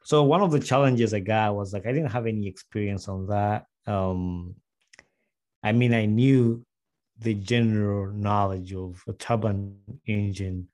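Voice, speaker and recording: Low-voiced man telling his story. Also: a little mellow. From Uganda. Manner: quick, rambling, with restarts and fillers. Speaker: guest, aircraft mechanic. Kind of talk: casual conversational interview.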